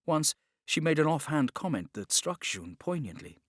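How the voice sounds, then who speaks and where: A man's voice: a little high, big pitch swings, moderate pace, a bit bright, dry recording, studio quality. A man reading scripted dramatic narration as an audiobook narrator.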